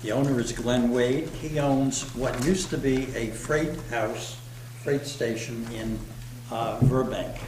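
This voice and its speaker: A man from the local historical society presenting to a town board in a meeting room, speaking loud without a microphone. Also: mid-range voice, steady tone, slow pace. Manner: loud, unamplified voice. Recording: echoey room with background noise.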